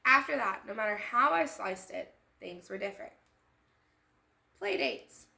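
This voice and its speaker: A woman reads a children's storybook aloud in an echoey room. Her voice is bright and fairly high, with very lively swings in pitch. She acts out the text and pauses to point out details as a storytime host. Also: vocal fry. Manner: animated, acting out lines and pointing out details. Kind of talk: expressive picture-book read-aloud with explanatory asides.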